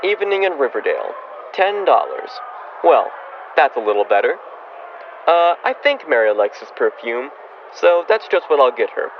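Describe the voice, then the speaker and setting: A man's voice: mid-range voice, very animated, moderate pace. A man playing a grumbly, thrifty middle-aged father who mutters to himself in a radio comedy. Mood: musing, grumbling. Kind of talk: old-time radio comedy acting. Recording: dull, band-limited, with clear background noise.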